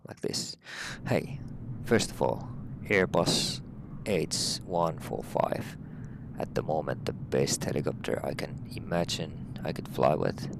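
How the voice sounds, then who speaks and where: A man's voice: extremely low pitch, gravelly, background noise. A middle-aged man from Finland with a Finnish accent, a helicopter pilot talking through a flight sim session with many fillers. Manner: hesitant, many fillers, plain and friendly. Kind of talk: casual flight-sim walkthrough.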